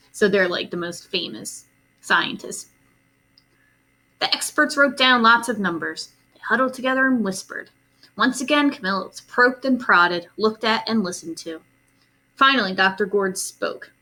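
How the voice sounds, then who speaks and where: A woman's voice: mid-range voice, bright, forceful tone. A woman reading a storybook aloud to young children expressively, adding simple, casual explanations.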